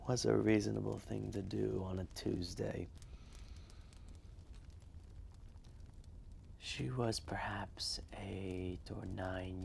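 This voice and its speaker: A man voicing an ancient, reflective dragon who tells a fireside story slowly and solemnly, with deliberate pauses and a low, gravelly voice. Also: exaggerated voice. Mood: solemn, tender. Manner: slow, deliberate, pausing, solemn reminiscing. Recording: faint background noise, fireplace ambience.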